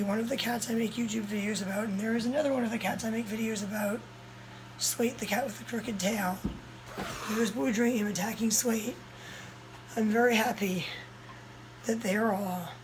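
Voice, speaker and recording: A young woman, hoarse and a little strained, talking straight into a phone held close. Her voice sits fairly high, and a faint hum runs underneath. She tells her story in a rush, urgent and breathless. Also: fairly even intonation, normal speed, pauses often. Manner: breathless, urgent retelling of a scare. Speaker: cat vlogger. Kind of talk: casual vlog storytelling. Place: small red-walled room indoors. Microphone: phone camera held close, low angle.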